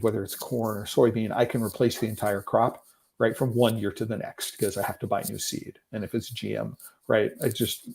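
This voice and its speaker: A middle-aged man hosts a livestream and explains his subject in an unscripted lecture. His voice is gravelly and dark-toned. He keeps checking in with "right?" and pauses on "um" while he thinks. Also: lowish voice. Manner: thinks aloud, many "right?" and "um" fillers, rhetorical questions. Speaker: livestream host.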